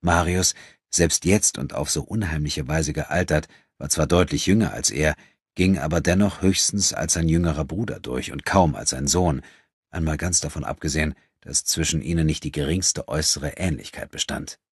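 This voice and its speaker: Male narrator, very low pitch, husky, dry close sound, scripted literary reading. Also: normal speed, no room sound. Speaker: audiobook narrator.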